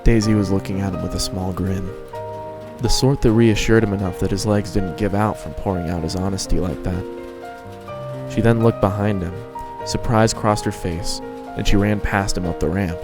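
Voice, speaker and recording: Low-voiced man narrating over music. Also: fairly even intonation, normal speed. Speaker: story narrator. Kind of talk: scripted fan fiction narration.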